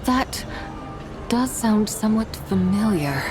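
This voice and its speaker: Slow, stately immortal goddess. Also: female voice, medium pitch, expressive, loud music behind the voice. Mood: pensive. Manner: stately, haughty, archaic formal phrasing. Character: proud, lofty female immortal horse deity. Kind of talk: video game dialogue.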